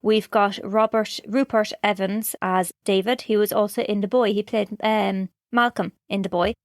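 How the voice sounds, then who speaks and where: A woman's voice: medium pitch, steady tone, moderate pace, no room sound, studio quality. An Irish woman co-hosting a podcast in casual film chat, teasing and matter-of-fact. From Ireland. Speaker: podcast co-host.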